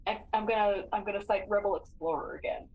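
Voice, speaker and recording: A woman's voice: mid-range voice, fairly even intonation, fast talker, a little mellow, poor audio. A woman chatting casually and decisively as a player in a tabletop role-playing game. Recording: clear background noise.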